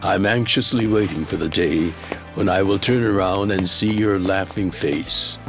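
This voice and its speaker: Fatherly older yakuza boss, low voice, tender wistful letter voice-over for a game, soft music underneath. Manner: measured, heartfelt letter reading. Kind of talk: video game drama voice-over.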